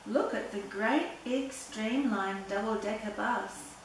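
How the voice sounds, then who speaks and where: An older woman reading a children's picture book aloud in a deliberate, playful storytelling way. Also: medium pitch. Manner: simple, deliberate reading with playful sound words. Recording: very echoey room, distant mic, background noise.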